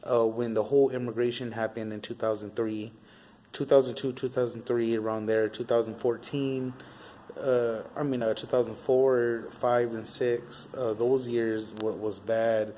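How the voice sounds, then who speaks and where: A man's voice: medium pitch, steady tone, normal speed, dark tone, poor audio. A young man, telling stories in a casual, rambling vlog, swearing freely and leaning on fillers. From Southern California. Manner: rambling, profane street talk with many fillers. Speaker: vlogger. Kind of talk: casual storytelling monologue.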